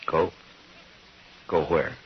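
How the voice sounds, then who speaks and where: An actor playing a stern but fair, world-weary frontier marshal in an old radio western, with terse, measured delivery. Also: male voice, a little hiss or hum. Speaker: lead character and narrator. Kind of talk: 1950s radio western acting. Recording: dull vintage radio recording, music behind.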